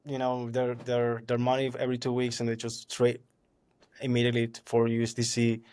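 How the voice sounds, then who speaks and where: Venezuelan man, 30s, native Spanish speaker; animated, laugh-prone podcast co-host. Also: mid-range voice, a little fast, smooth. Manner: animated, laughs often, swears casually. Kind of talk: casual podcast chat.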